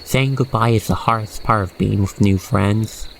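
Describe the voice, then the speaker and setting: An expressive narrator guiding the listener through an ASMR roleplay story in a gushing, sentimental manner. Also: mid-range voice, normal speed, gravelly, heavy background noise.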